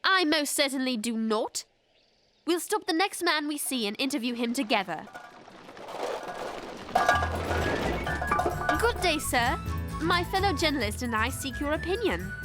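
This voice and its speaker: A prim English girl in a cartoon, earnest and proper. Her voice is high and swings expressively, with music playing behind it. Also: big pitch swings, moderate pace. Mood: prim, inquisitive. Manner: proper, formal, indignant. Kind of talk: animated series acting.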